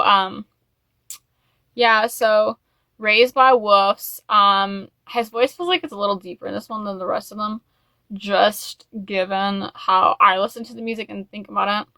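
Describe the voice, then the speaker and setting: A woman talks casually to her viewers as the host of a video. Her voice is bright and forward, recorded dry with little room sound. She rambles in a gushing fan manner, peppering her talk with "like" and "you know.". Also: medium pitch, steady tone, moderate pace, no echo. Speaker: YouTube host. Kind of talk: casual chat to camera, music album review.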